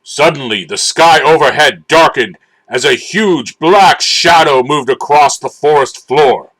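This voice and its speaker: A man giving a dramatic, theatrical and deliberate scripted narration of a children's storybook. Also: a little high, fairly bright tone, low-quality recording.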